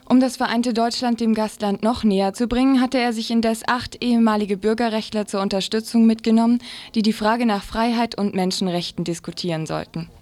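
A woman's voice: a little high, steady tone, brisk, a little mellow, no room sound. A woman reading a scripted news commentary as a radio presenter.

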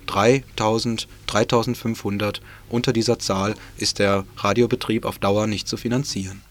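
A man presenting the news on a local radio station, reading a script in a measured, formal way. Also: lowish voice, flat delivery, background noise. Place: radio studio.

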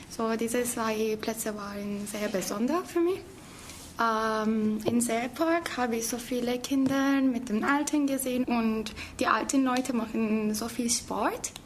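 A young woman from Turkey talks in a fairly high voice, chatty and full of small hesitations. A faint hum of background noise sits under her voice. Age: 20s. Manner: chatty, laughing storyteller with hesitant fillers. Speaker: language student. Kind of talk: casual interview answers.

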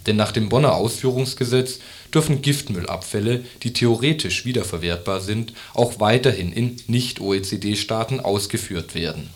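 A man's voice: lowish voice, moderate pace, few pauses. A man reading scripted radio news as a news reader.

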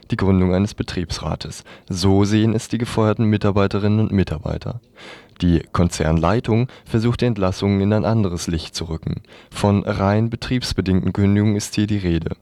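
A man's voice: low-pitched, a little mellow, no room sound. A man reading scripted news reports on the radio.

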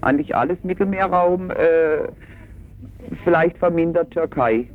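A man's voice: a little high, measured pace. A man giving hesitant, colloquial interview answers over the telephone. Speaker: travel agency employee being interviewed. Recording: tinny, narrow phone line.

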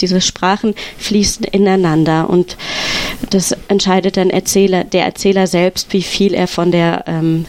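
A woman's voice: fairly low pitch, darkish tone. A woman speaking as a storyteller being interviewed, explaining things spontaneously and enthusiastically in a conversational way.

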